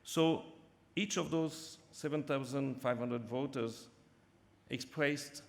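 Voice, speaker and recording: A man with a non-native English accent co-hosting an awards ceremony, speaking in a measured, halting way with many fillers. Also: medium pitch. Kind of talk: formal ceremony announcing. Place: large theatre stage. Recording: long hall reverb from a theatre stage.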